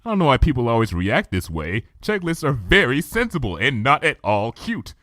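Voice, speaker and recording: Male reader as bookish young unicorn mare, bright and bouncy, very animated. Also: medium pitch, moderate pace, no room sound, clean studio sound, pitched above the performer's own voice. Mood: eager. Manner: eager and chattery. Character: earnest bookish young female unicorn scholar. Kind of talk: fan fiction audiobook reading.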